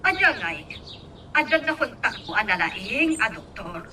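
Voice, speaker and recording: A woman's voice: mid-range voice, big pitch swings, measured pace, sharp tone. An actress playing a stubborn, scheming middle-aged mother in an Ilocano radio drama. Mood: insistent, impatient. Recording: distant mic, faint background noise.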